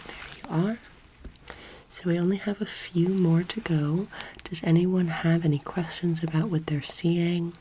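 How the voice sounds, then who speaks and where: Soft, breathy young woman in a low, even murmur. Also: slight background noise, rough recording. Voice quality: soft, breathy, often half-whispered. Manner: gentle, measured, instructive. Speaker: ASMR performer role-playing an alien anatomy teacher. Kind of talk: soft-spoken ASMR roleplay lecture. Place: indoor room, seated close to camera. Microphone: close microphone. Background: soft clicking, crinkling and squishing sounds.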